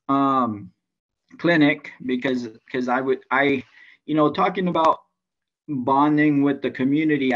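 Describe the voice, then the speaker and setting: A man from Hawaii with a Hawaiian accent, a baseball coach talking casually to a club meeting over a video call, halting with many restarts and fillers. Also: mid-range voice. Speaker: high school baseball coach. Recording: dull lo-fi sound.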